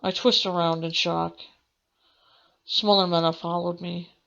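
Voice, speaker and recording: A woman's voice: fairly low pitch, level tone, unhurried, creaky, fairly bright tone, muffled recording. A woman gamer reading visual novel narration aloud, with casual asides of her own. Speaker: gamer doing a let's play. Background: game music.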